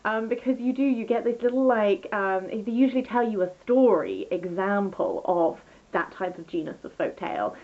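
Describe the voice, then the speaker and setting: A woman's voice: medium pitch, moderate pace, hardly any pauses, narrow, tinny audio. A woman chatting casually through a book review as a vlogger, rambling and enthusiastic, often interrupting herself.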